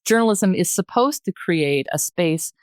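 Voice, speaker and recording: An older woman talks as a podcast guest. Her voice sits low and the sound is dry. She thinks aloud with emphasis, often checking that the listener follows. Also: lively intonation, unhurried, no echo. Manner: emphatic, searching phrasing, often checking with "right?". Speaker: podcast guest, retired journalist. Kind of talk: reflective podcast discussion.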